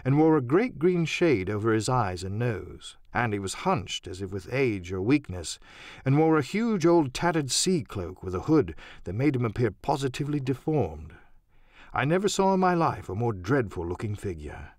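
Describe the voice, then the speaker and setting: A man narrating an audiobook as a dramatic storyteller, theatrical and expressive in his delivery. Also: medium pitch, moderate pace, dry recording, clean studio sound.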